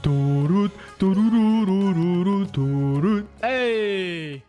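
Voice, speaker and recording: Man livestreaming a game with animated pitch. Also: slow pace. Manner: blurts surprise, swears, sings nonsense to himself. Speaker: livestreamer playing a story quest. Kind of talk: casual live reaction commentary. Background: game music.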